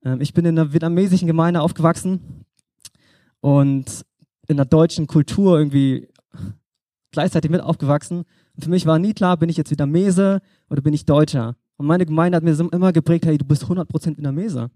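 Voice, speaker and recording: Young male preacher, dark dry voice. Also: on the high side, fairly quick, dry recording. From Germany. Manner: conversational, addresses listener directly. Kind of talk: informal sermon.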